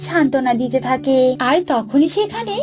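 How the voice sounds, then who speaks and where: Female story narrator, high pitch, scripted children's cartoon narration, faint background music. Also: animated, moderate pace.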